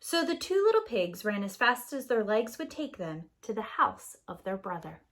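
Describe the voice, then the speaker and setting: A woman's voice: mid-range voice, sing-song, moderate pace, a bit bright. A woman narrating a scripted children's story as a preschool teacher would.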